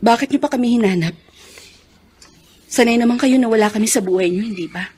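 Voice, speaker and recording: An actress playing a wounded grown daughter in an emotional Filipino radio drama. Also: female voice, fairly high pitch, fast, a little mellow, slightly rough. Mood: angry, hurt. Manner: emotional, reproachful. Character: bitter, wounded grown daughter, a hardworking housewife.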